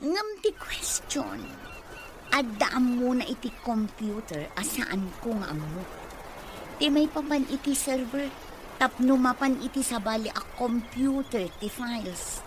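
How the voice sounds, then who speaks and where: A woman's voice: on the high side, expressive, unhurried. A woman playing a doubtful young office worker caught up in her mother's schemes in a radio comedy-drama. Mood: doubtful, questioning. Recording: faintly noisy radio recording.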